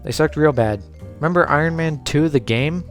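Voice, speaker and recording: A man's voice: a little high, normal speed. A man giving casual scripted commentary in a sarcastic, informal manner. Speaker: host of a video essay series. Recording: background music under voice. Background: music.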